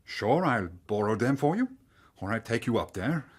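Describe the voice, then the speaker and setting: A man's voice: medium pitch, very animated, moderate pace, husky. Good-natured young man. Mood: obliging. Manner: precise foreign phrasing, earnest. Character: big young Danish trader, open, good-natured, bookish and enthusiastic. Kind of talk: radio drama acting.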